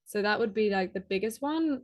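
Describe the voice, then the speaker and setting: A woman's voice: medium pitch, lively intonation. Chatty woman podcast guest. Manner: chatty, lots of "like", quick laughs. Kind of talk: casual conversational interview.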